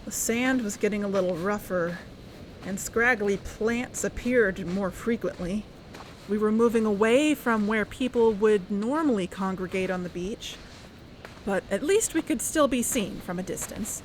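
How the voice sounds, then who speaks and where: An actress playing an earnest, uneasy young woman investigator, narrating her own story in a measured, confessional way for an audio drama. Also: mid-range voice, a little hiss or hum, close to an everyday voice, poor audio. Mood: tense, apprehensive. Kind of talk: scripted first-person audio drama narration.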